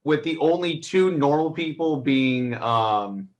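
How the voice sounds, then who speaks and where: A man speaking as a co-host in a casual, conversational movie review, halting and a little wry as he talks. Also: fairly high pitch, lively intonation. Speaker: film reviewer and podcast co-host.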